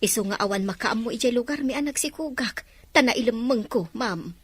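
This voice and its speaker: Woman doing a jittery polite maid, breathy voice. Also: fairly high pitch, expressive, brisk, dry recording. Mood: nervous. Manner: hesitant, deferential. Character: nervous, deferential maid.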